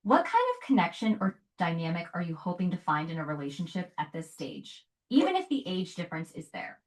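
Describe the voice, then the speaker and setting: A woman speaking calmly and evenly, paraphrasing what she hears and asking follow-up questions. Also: lowish voice. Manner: calm, measured, paraphrases and asks follow-up questions. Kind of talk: conversational advice.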